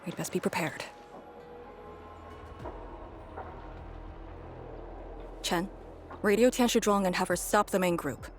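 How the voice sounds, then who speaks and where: Solemn, low-voiced woman commander played by an actress. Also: slight background noise, natural voice. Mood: commanding, grave. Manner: grave, measured, formal delivery. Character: solemn, battle-worn woman commander mourning fallen comrades. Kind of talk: dramatic video game story dialogue. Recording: game music behind the voice.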